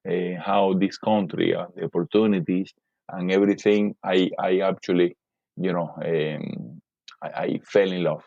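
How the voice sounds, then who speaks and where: A man from Cuba with a Cuban Spanish accent, a guest in an interview, speaking haltingly with many restarts and fillers. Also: low-pitched, gravelly, darkish tone, dry recording, muffled recording. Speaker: podcast guest. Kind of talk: casual interview conversation.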